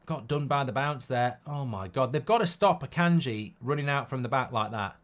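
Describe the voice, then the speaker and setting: A British man with a slightly croaky, bunged-up voice hosts a live football watchalong, mixing casual commentary with blunt, jokey replies to the chat. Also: mid-range voice, moderate pace, a bit bright.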